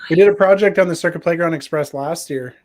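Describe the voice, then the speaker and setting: A man's voice: fairly high pitch, fast talker, darkish tone. A man casually demonstrating a project as a guest, friendly and plain-spoken. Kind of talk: casual show-and-tell demo.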